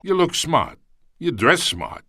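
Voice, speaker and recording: Skeptical folksy guy, sharp forceful tone, swinging pitch, plain-spoken, game character, dry sound. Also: huge pitch swings, slow, slightly rough, no room sound, heavily acted. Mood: blunt. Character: blunt, folksy, skeptical male voter. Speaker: game voice actor. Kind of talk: character dialogue acting.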